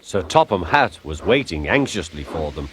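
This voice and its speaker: A man's voice: low voice, moderate pace, heavy background noise. A man reading a scripted children's story as narrator, expressively acting out the characters. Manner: expressive, acts out character voices.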